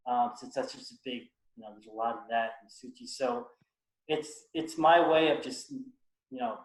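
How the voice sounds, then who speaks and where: Earnest man on an echoey call. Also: mid-range voice. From United States. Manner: earnest, halting, many restarts. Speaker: guest speaker. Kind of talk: reflective personal talk on a video call.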